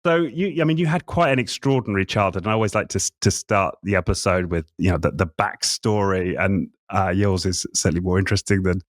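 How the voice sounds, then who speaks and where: A middle-aged man hosting a conversational interview podcast, chatty and a little halting as he rephrases his sentences. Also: low voice, dry recording, studio quality. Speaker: podcast host.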